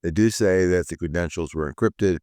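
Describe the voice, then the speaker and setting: A man hosting a weekly security news update, talking with a rough voice, giving blunt, dry asides with frequent ums and uhs. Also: low-pitched, normal speed, darkish tone, no echo. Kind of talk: solo news commentary.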